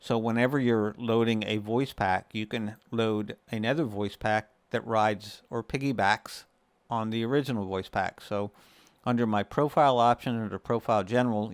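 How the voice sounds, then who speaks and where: A man's voice: lowish voice, clean tone, no room sound. A man hosting a gaming tutorial, explaining things step by step in a casual, conversational way. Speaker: gaming video host. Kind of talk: casual screen-share tutorial.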